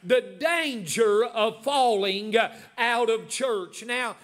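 A man's voice: very high-pitched, pushed and bright. A man in his forties preaching a sermon as pastor, with many "uh" fillers and emphatic repetition. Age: 40s.

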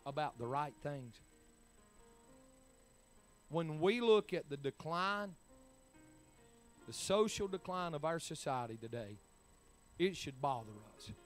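A man, preaching a sermon in emphatic, rising bursts. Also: fairly high pitch. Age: 40s. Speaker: pastor.

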